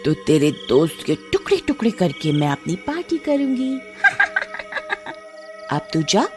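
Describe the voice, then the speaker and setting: A performer voicing a menacing little witch over loud music. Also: medium pitch, huge pitch swings, slow pace. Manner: threatening, cackling, bossy. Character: short-statured witch, vain, hot-tempered and menacing.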